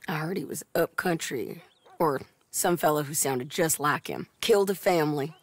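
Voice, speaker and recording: A woman's voice: deep voice, moderate pace, no echo. An actress playing a tough, plain-spoken bounty hunter in her thirties from the Old West, with an American accent and a blunt manner, in a western game. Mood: apologetic, serious. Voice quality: gravelly. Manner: blunt, matter-of-fact. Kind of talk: cinematic western game acting.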